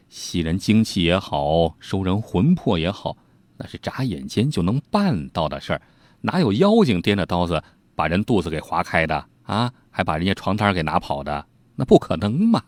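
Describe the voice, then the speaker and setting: Storybook county magistrate, done by a male narrator: self-assured, scoffing, lowish voice, dry sound. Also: very animated, moderate pace, a little mellow, no echo. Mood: scoffing, amused. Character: shrewd, amused old-time county magistrate, a man.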